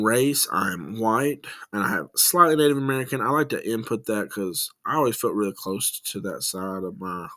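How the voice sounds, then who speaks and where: A man's voice: medium pitch, a bit bright, no echo. A young man from West Tennessee with a Southern twang, rambling informally through a class project with plenty of "um"s and restarts. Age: young adult. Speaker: student presenting a class project. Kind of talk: casual recorded class presentation.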